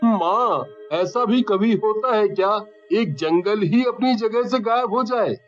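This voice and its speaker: Man playing earnest high-voiced young man. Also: slow pace, lo-fi sound, faint music behind. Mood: puzzled. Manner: earnest, questioning. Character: earnest, curious young man visiting his village home.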